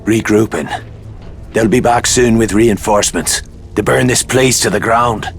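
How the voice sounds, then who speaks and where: Gravelly, defiant Irish saboteur. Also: male voice, lowish voice, measured pace, faint music behind. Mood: grim. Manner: blunt, stubborn. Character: hot-headed, defiant Irish saboteur and driver. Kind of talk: dramatic video game acting.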